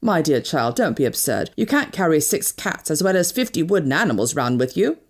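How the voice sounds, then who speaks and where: A woman's voice: sits low, big pitch swings, fairly quick. A woman voicing a stern, commanding colonel in an audiobook, with a firm, clipped and condescending manner. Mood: stern, hard. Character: stern, commanding British colonel, a grim older man. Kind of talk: audiobook character dialogue.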